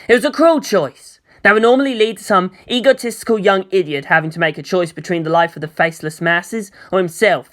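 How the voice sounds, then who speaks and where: Bright, animated dramatic story narrator. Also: mid-range voice.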